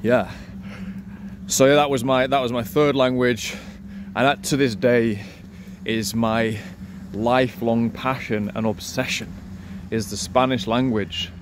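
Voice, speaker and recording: A man's voice: medium pitch, steady tone, unhurried, pauses often, background noise. A man in his thirties, a language teacher, talking in a playful, self-assured way in a casual vlog recorded outdoors. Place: outdoors in a city street, by a wall.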